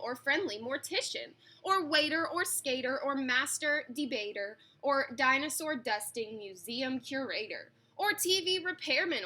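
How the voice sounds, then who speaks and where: A woman's voice: high-pitched, bright, forceful tone, exaggerated voice. A woman voicing an eager, boastful eight-year-old storybook schoolboy who declaims grandly in rhyme.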